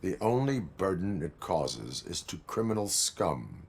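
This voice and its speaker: An actor playing a stoic cyborg policeman in a video game, with deadpan, formal, clipped delivery. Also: low voice, unhurried, gravelly, exaggerated voice. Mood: stoic, flat. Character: stoic armored cyborg policeman, dutiful and unyielding. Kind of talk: dramatic video game cutscene acting.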